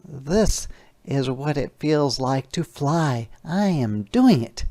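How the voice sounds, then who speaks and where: A man's voice: mid-range voice, lively intonation, slow pace, warm tone, pitched above the performer's own voice. A man voicing a hopeful, eager little female sleigh from a storybook in an earnest way. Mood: proud.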